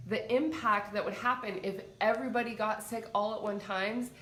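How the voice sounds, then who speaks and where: A woman with a stuffy head cold who hosts as a psychic and talks in a gentle, soothing way. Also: medium pitch, steady tone, normal speed, reverberant. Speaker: psychic and meditation host. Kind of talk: casual livestream talk.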